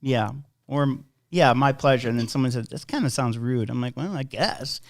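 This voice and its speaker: A man's voice: mid-range voice, normal speed. A man hosting a casual interview podcast, friendly, asking questions with frequent stumbling restarts.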